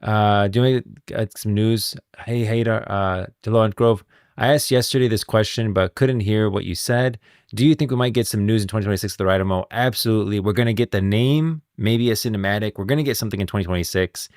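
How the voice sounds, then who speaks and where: A man's voice: lowish voice, fairly quick, a little mellow, no room sound, clean studio sound. A man live streaming, chatting casually and giving commentary to viewers.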